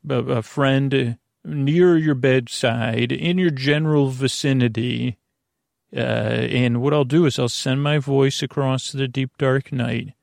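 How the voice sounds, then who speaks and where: A man hosting a bedtime podcast in a creaky, lulling voice, meandering hesitantly through tangents. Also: mid-range voice, a little mellow, no room sound, studio quality. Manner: hesitant, digressive, trailing off into tangents; lulling, soothing. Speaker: host of a bedtime sleep podcast. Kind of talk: rambling, meandering bedtime monologue.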